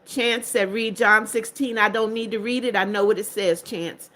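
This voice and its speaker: A woman's voice: medium pitch, steady tone, moderate pace, sharp tone. An older woman hosting a livestream, reading out chat comments and greeting viewers in a firm, strict way. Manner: strict, firm about blocking spammers. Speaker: livestream host.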